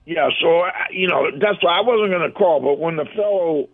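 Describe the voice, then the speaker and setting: An older man with a gruff voice calling in to a radio show, chatting in a rambling, folksy way. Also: on the high side, normal speed. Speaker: caller. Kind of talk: casual phone-in chat. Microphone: telephone. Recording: tinny phone line.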